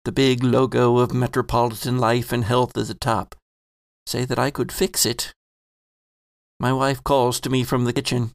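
A man voicing a gentle, reflective landlord with a gravelly edge. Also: mid-range voice, no echo, clean studio sound, natural voice. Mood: resolute. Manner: soft reflective first-person monologue. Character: gentle middle-aged male landlord, kindly.

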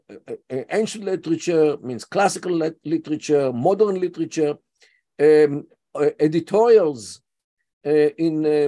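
A man's voice: a little high, mellow. A man in his seventies with an Israeli accent, speaking as a guest scholar in an interview, hesitant with many fillers but emphatic. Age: 70s. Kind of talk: interview conversation.

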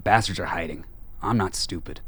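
An actor playing a gruff, paranoid old ex-soldier in a radio play, cursing and belligerent. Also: male voice, low voice, normal speed, fairly bright tone. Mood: irritable, suspicious. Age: older. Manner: profane, suspicious, belligerent.

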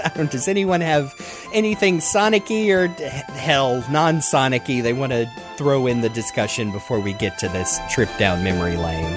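A man's voice: medium pitch, moderate pace, few pauses, over music. A man hosting a casual chat show, talking in an informal, jokey and rambling way. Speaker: podcast host.

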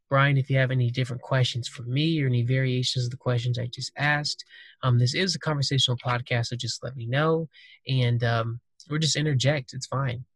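A man's voice: mid-range voice, no echo. Dry, close-miked man hosting casually. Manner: loose, rambling, many fillers. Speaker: podcast host. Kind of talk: casual conversational podcast.

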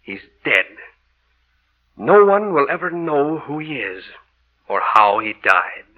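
An actor playing a cold-blooded, greedy murderer with melodramatic gloating in a vintage radio drama. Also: male voice, a little high, very animated, slow pace, exaggerated voice. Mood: triumphant. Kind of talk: 1940s radio drama acting. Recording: dull, lightly noisy old radio recording.

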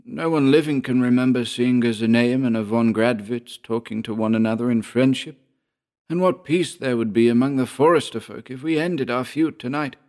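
Man playing a hoarse, halting injured landowner, pitched high. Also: a little mellow, close to an everyday voice. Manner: slow, jerky, strained delivery. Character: Georg, injured forester landowner, proud, halting, softening old enemy.

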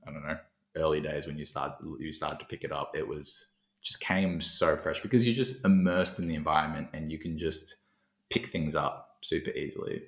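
A man's voice: very deep voice, normal speed, gravelly, fairly bright tone. A man in casual conversation as a podcast co-host, reflective and thinking aloud with fillers. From Australia. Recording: roomy echo.

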